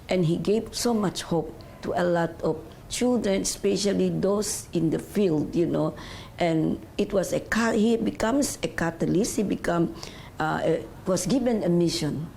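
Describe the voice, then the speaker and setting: Low gravelly lady talk show host, gushy, keeps saying you know, faint hiss. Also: mellow. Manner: effusive, loose grammar, repeats "you know". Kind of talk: television interview.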